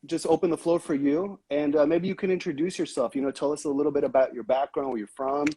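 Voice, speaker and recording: Upbeat male host with a husky voice. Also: a little high, fairly even intonation, fast, no echo. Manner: upbeat, talks to viewers by name and gives shout-outs. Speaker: livestream host. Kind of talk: casual livestream interview.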